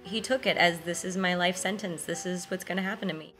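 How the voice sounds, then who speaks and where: Woman, 20s, emphatic emotional interview, low voice, bright forceful tone. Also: steady tone, a little fast, faint music behind. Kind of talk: documentary interview.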